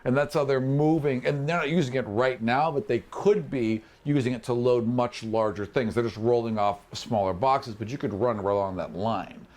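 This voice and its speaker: A man's voice: medium pitch, moderate pace, few pauses, darkish tone. A man casually narrating and explaining the scene as game master of a tabletop fantasy game.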